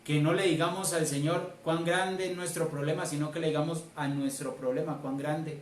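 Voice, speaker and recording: A man's voice: on the high side, fairly even intonation, normal speed. A Colombian man, a church elder, preaching a sermon in an emphatic way, repeating key phrases. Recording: roomy, distant mic, faint noise.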